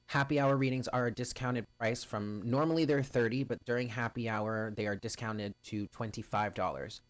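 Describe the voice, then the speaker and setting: Loud, upbeat man hosting a live stream. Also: medium pitch, normal speed, dry recording. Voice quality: loud voice carrying. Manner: chatty and upbeat, greets viewers by name. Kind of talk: casual live-stream chat with viewers. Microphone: home stream mic, first too quiet, then louder.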